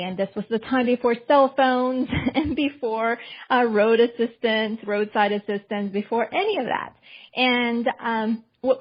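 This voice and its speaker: Woman guest, 40s, from Colombia, chatty and affirming, slightly dull sound. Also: on the high side. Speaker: podcast guest and author. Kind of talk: casual interview talk.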